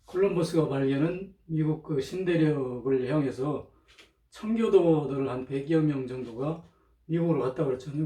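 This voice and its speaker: A man talking in an informal, rambling monologue, hesitant, often clearing his throat, with a slightly strained throat, on a cheap microphone. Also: on the high side, warm tone. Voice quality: strained throat from chronic irritation. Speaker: lecturer, channel host. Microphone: cheap, low-volume microphone. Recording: roomy, distant cheap microphone.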